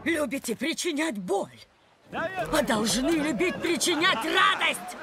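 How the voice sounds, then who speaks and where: A woman's voice: high voice, animated, slow, loud music behind the voice, heavily acted. A woman voicing a scornful, strict cartoon pirate-school teacher who lectures in a declamatory way. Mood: stern, lecturing. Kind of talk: cartoon voice acting.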